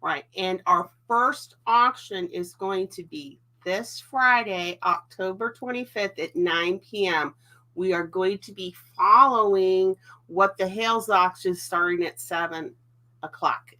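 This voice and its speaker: A woman's voice: mid-range voice, slow pace, bright, forceful tone. A woman co-hosting a casual livestream with a stuffy, nasal voice, chatty and reading out viewer comments. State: stuffy, nasal voice while healing. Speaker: livestream co-host.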